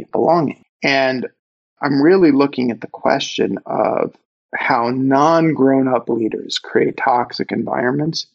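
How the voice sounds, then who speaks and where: A man with a Brooklyn accent speaks with a gravelly, husky voice at an ordinary pitch. He is a candid, reflective interview guest who pauses for emphasis. The recording is slightly dull and muffled. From Brooklyn, New York.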